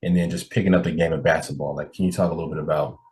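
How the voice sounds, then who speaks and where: A man's voice: very deep voice, fairly even intonation, very fast, a little mellow. A man hosting a podcast, interviewing in a casual, conversational way with an encouraging, appreciative manner.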